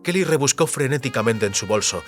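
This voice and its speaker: Male audio fiction narrator over soft music. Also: mid-range voice, expressive, fast. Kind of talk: scripted literary narration.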